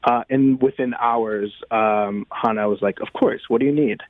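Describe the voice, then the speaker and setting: A man being interviewed as a guest playwright, talking conversationally in a thoughtful, halting way with many pauses. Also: mid-range voice, steady tone, dry recording, phone-quality sound.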